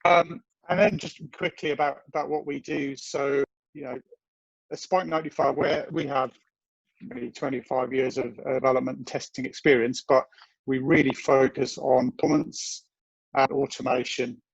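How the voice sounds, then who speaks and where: Give me a gravelly man presenting on a video call. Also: mid-range voice, fairly even intonation, moderate pace, dry recording. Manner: rambling, self-deprecating, many fillers. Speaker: guest presenter. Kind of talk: technical presentation. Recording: dull lo-fi video call audio.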